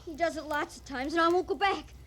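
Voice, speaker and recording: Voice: high-pitched, fairly quick, fairly bright tone. An actor playing a frightened, stubborn young runaway boy with plaintive, simple phrasing in a radio western. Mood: defiant, upset. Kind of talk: 1950s radio western acting. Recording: old radio recording, faint noise.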